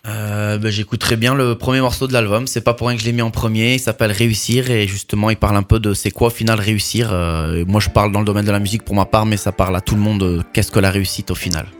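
A man, a musician guest in a radio interview, talking reflectively about his work and pausing to find his words. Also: on the low side, steady tone. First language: French.

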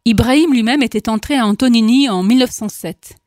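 A woman's voice: a little high, a little mellow, no room sound. Woman radio host reading aloud, dry studio. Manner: measured, careful reading with occasional apologetic asides.

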